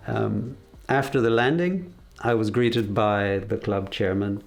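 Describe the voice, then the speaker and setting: Gravelly-voiced man, measured and reflective. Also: on the low side, faint music behind. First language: English. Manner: measured, reflective, with frequent hesitations. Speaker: car designer. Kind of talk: documentary interview.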